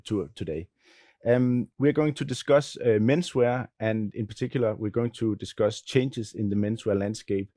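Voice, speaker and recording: A man's voice: fairly low pitch, dark tone, no echo, clean studio sound. A man hosting a fashion panel, friendly and hesitant, with many fillers. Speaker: panel moderator, magazine editor. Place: studio panel at a fashion event.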